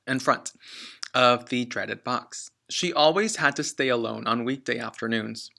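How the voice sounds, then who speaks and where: A man reading a children's book aloud like a teacher, expressively and with deliberate emphasis on key words. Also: mid-range voice, creaky, bright, forceful tone.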